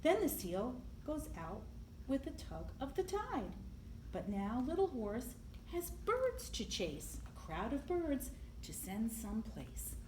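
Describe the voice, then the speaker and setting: A woman reading a picture book aloud to young children in an expressive, deliberate storytelling manner, with emphasis on the sound words. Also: on the high side. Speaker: library storyteller. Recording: echoey room, clear background noise.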